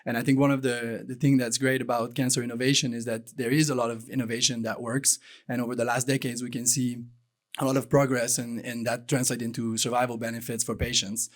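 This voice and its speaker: Man, monotone, moderator, halting, repeats words. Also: mid-range voice. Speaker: panel co-chair. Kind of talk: moderating a panel.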